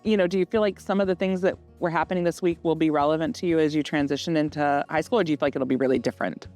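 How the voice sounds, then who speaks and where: A woman hosting a school podcast, speaking conversationally and asking students open questions with gentle follow-ups. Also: low voice, steady tone, very fast, soft background music.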